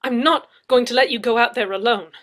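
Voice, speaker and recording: An indignant, impetuous young man in an audiobook story, as a woman plays him. The voice is high and jumps up louder, with emphatic protests and a cheeky, self-important air. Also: brisk, lightly acted. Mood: alarmed, defiant. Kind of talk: dramatic audiobook character reading.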